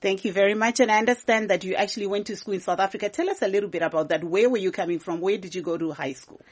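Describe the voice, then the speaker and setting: A woman's voice: mid-range voice, very fast, breathy edge. A woman hosting a studio program, introducing guests and prompting them with questions. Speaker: radio program host.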